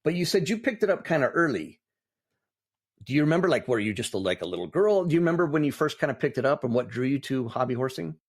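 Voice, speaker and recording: A man's voice: medium pitch, expressive, quick pace. A man hosting a podcast, chatty and enthusiastic as he interviews his guest. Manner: enthusiastic, rambling, warmly encouraging. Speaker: podcast host. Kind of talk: casual interview.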